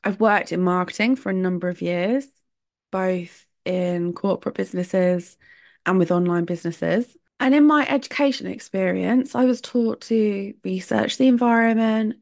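A woman's voice: medium pitch, normal speed, vocal fry, a little mellow, no room sound, studio quality. A woman hosting a solo podcast, talking in a chatty, opinionated way. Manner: chatty, opinionated, laughs between points. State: sore throat. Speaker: podcast host.

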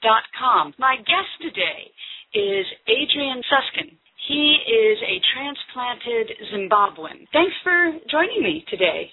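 Woman, interview host, direct prompting questions, slightly high voice, tinny phone-like sound. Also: very animated, takes it slowly, breathy edge. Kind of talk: interview hosting.

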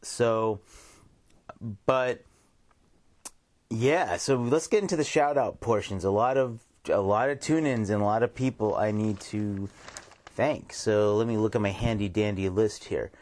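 A man's voice: on the low side, a little slow, a little mellow. An American man hosting a radio show, talking informally in a rambling, repetitive monologue. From United States. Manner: rambling, informal, repeats himself. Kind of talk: casual radio monologue with shout-outs.

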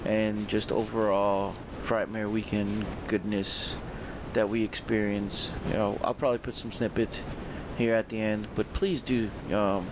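A man hosting a podcast in loose, rambling chat, swearing freely and filling pauses with ums. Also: on the low side, flat delivery, noisy background. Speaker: podcast host.

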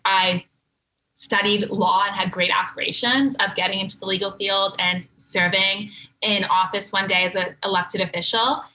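A young woman from Canada, speaking as an interview guest. Her voice is bright and forward, set at a middle pitch. She answers in calm, well-formed full sentences. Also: low-quality recording. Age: young adult. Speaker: guest, brand co-founder.

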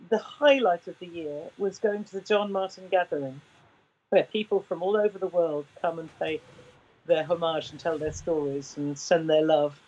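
A woman in her sixties, a singer with a deep baritone voice, telling stories in an interview with many restarts. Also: a little mellow, breathy edge, lo-fi sound. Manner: rambling, with frequent restarts. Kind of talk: relaxed conversational storytelling.

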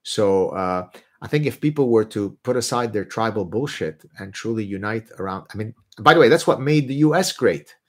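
A man's voice: lowish voice, moderate pace. A middle-aged man from Lebanon whose first language is Arabic, hosting a casual livestream and talking bluntly and emphatically. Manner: animated, blunt, emphatic, mocking voices when ranting. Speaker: livestream host answering viewer questions.

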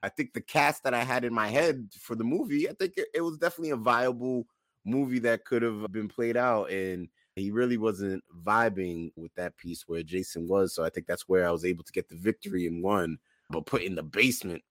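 A man co-hosting a podcast in casual discussion, making his points deliberately and repeating them for emphasis. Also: lowish voice, dry recording, studio quality. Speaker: podcast co-host.

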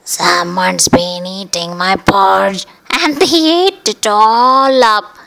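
A woman voicing a small, tearful young bear who wails in a high, strained voice. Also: takes it slowly, vocal fry, fairly bright tone. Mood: wailing.

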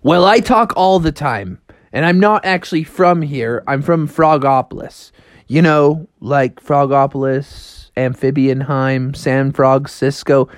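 A man's voice: mid-range voice, unhurried, no echo, clean studio sound, natural voice. A man voicing a friendly, laid-back frog in a children's story, drawling in surfer-dude slang. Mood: polite, casual.

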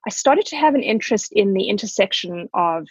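Husky woman in her forties. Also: mid-range voice, animated, fairly quick, no echo, muffled recording. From South Africa. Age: 40s. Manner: gracious, reflective storytelling. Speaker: podcast guest. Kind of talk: conversational interview.

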